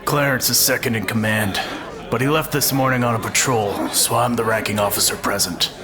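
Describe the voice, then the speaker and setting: A man's voice: medium pitch, steady tone, brisk, crowd noise, lightly acted. A man playing a businesslike military officer in a fantasy audio drama, speaking formally. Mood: dutiful, firm. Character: dutiful male garrison officer, plain and businesslike.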